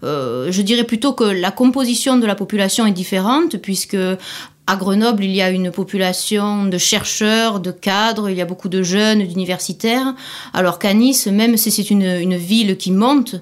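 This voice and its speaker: Woman with a southern French accent. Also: medium pitch. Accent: southern French (Midi). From Corsica. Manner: measured, thoughtful, explaining at length. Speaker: interviewee. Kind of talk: unscripted interview answers for a language course.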